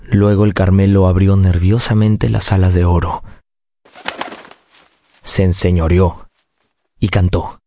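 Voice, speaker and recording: An actor narrating a radio drama as a grown man recounting his childhood, in a measured, solemn and tender way. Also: deep voice, level tone, dark tone, slight background noise. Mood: solemn, wistful.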